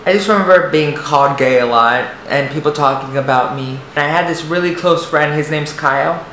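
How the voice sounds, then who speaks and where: A young man with a light, soft voice, telling a personal story to the camera, hesitant and rambling with many restarts. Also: fairly high pitch, brisk. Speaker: vlogger. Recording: echoey room, faint noise.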